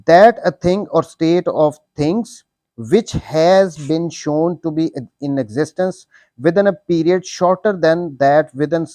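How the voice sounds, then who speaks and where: A man's voice: a little high, dark tone, no echo. A man with a Pakistani accent giving an explanatory law lecture, didactic and repeating key points, mixing in English legal terms.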